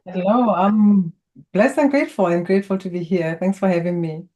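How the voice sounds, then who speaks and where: Botswana-accented woman, native Setswana speaker, guest healer; low, warm, calm, measured delivery. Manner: calm, measured, spiritual guidance tone. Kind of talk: interview conversation.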